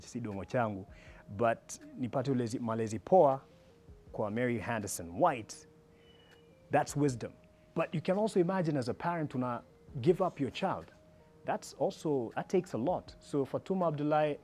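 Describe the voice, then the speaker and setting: A Kenyan man in his thirties, a journalist sharing his life story as a guest in a relaxed, playful talk-show chat. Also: mid-range voice. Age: 30s. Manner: reflective storytelling, playful and joking. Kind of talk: casual chat mixing English and Sheng slang.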